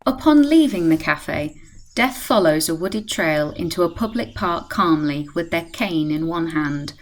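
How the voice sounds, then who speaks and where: A woman's voice: on the low side, expressive, measured pace, slight background noise, low-quality recording. A woman playing the composed narrator of a radio play, reading scripted present-tense storytelling. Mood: measured.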